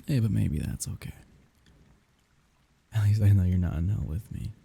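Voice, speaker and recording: Male actor as bereaved lover, low-pitched, very breathy and husky, tender but wry, intimate ASMR roleplay delivery. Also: warm tone, exaggerated voice. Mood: aching, tender. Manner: wry, tender, halting, half-murmured. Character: grieving man bidding farewell to dead lover.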